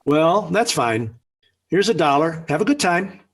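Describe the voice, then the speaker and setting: A genial American suburban father in a 1940s radio comedy. His voice is fairly high and husky, swinging widely in pitch as he hems and then lays down the law. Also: very animated, a little slow, a bit bright, heavily acted. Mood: fatherly, hemming. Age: middle-aged. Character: genial, talkative suburban father trying to preside. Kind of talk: 1940s radio sitcom acting. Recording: slightly rough 1940s radio recording.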